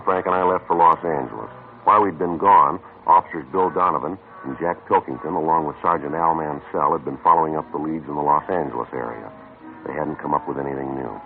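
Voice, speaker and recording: Casting: a clipped, deadpan detective sergeant narrator, very deep and brisk, old radio play. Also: male voice. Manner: clipped, matter-of-fact delivery. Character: gruff male police sergeant narrator, terse. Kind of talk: 1950s radio drama narration. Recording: tinny old radio broadcast with music.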